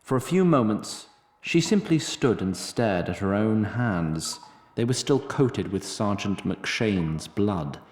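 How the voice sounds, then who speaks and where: A man narrating a scripted fantasy story in a measured, literary way. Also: fairly low pitch, expressive, a little mellow. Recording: roomy sound, faint noise.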